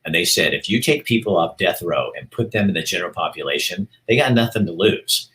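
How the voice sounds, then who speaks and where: A middle-aged man with a very low voice talks loosely to his viewers, rambling and thinking out loud. His tone is bright and forceful despite the depth, and he keeps it candid and off the cuff. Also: animated, brisk, low-quality recording. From Oregon. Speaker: show host. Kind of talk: casual live-stream talk to viewers.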